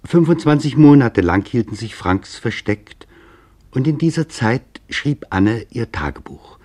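Husky, solemn male documentary narrator. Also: mid-range voice, darkish tone. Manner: solemn, deliberate, formal. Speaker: narrator presenting a radio documentary. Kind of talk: scripted literary documentary narration.

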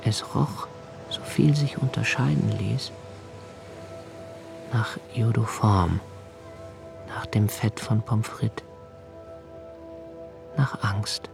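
An actor playing an anxious, observant young poet narrating a radio play in a slow, hushed, intimate way, sometimes sinking to a near whisper. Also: male voice, fairly low pitch, gravelly, music in the background, full character voice. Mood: brooding. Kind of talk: literary radio drama reading.

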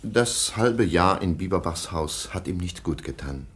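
An actor playing a stern middle-aged baron and guardian in a radio play, formal and disapproving. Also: male voice, low-pitched, animated, a little slow, a bit bright.

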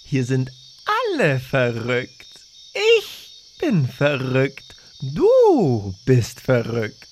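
A woman playing a teasing, nonchalant mad cat in a fairy-tale audio drama, with a playful, drawn-out theatrical delivery. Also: extremely low pitch, wildly swooping pitch, darkish tone, slight background noise, heavily acted. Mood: mad, smug.

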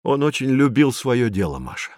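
Man as an animated, earnest tour guide. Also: mid-range voice, no echo, clean studio sound, lightly acted. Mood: solemn, earnest. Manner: didactic, deliberate, dramatic emphasis. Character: male tour guide teaching children, patient and earnest. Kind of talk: scripted educational audio drama narration.

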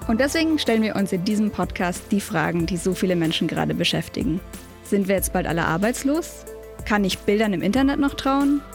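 A woman's voice: mid-range voice, expressive, fairly quick. Woman co-hosting over faint music. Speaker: podcast host. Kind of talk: lightly scripted podcast trailer.

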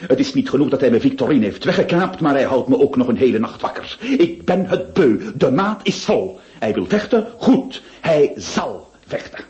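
An actor playing a self-assured, impatient notary in a radio drama, declamatory and decisive. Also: male voice, mid-range voice, very animated, normal speed, a little mellow, close to an everyday voice. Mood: resolute, irritated. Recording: dull, muffled radio play recording.

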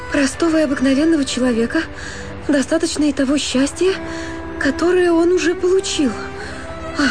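Radio drama role: young married woman, rapturous and halting, high-pitched, unhurried. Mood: agitated, thrilled. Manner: breathy pauses, theatrical, halting and rapturous delivery. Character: young married woman, dreamy, enraptured, wavering between thrill and fear. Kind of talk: Russian radio drama acting. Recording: music bed under the voice.

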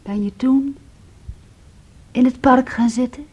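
A possessive, reproachful young woman in a Dutch radio drama. Her voice is somewhat high yet dark and breathy, and she talks intimately, with probing, pointed questions and remarks. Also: takes it slowly, muffled recording, heavily acted. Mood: probing, wistful. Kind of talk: Dutch radio play acting.

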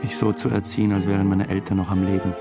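A man's voice: low voice, flat delivery, quick pace, gravelly, over loud music. An actor playing a diffident young theatre dramaturg who narrates his own story in a radio drama, dry and understated. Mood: reflective.

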